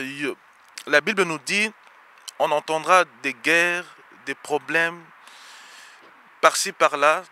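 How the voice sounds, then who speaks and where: A man with a very bright, forceful voice. He speaks slowly and hesitantly as an interview guest, repeating himself. Faint ambient noise sits behind him. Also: fairly high pitch. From Congo. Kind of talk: unscripted religious commentary.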